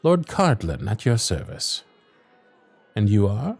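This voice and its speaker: An actor playing a wry, honourable young Victorian lord, charming and a little vain, speaking formal, courtly in a romantic audio roleplay. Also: male voice, fairly low pitch, big pitch swings, slow pace, vocal fry, a little mellow, slightly rough, soft background music, exaggerated voice. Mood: flustered, apologetic. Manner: formal, courtly, old-fashioned diction.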